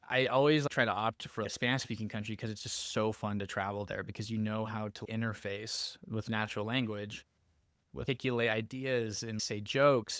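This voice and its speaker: A man with a fairly low voice hosts a podcast in a casual, conversational way. His delivery is informal and halting, with frequent self-corrections. The sound is dry, with very little room around him. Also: a bit bright, no room sound. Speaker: podcast host.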